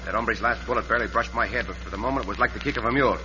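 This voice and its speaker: An actor playing a calm, gallant Mexican adventurer in a radio western, with a courteous, commanding, deliberate delivery and a Mexican accent. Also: male voice, fairly low pitch. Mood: resolute. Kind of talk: 1950s radio western acting. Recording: muffled, noisy, reverberant old radio recording.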